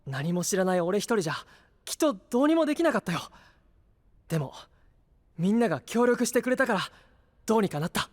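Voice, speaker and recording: A man's voice: sits high, sing-song, brisk, pauses often. A man playing an earnest, hot-blooded young knight in an anime audio drama, sincere and eager in delivery. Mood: eager, hopeful.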